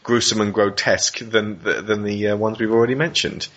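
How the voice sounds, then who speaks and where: A man's voice: on the low side, steady tone, brisk, a bit bright, lo-fi sound. A man conducting a casual interview in a hesitant, thoughtful, wordy way.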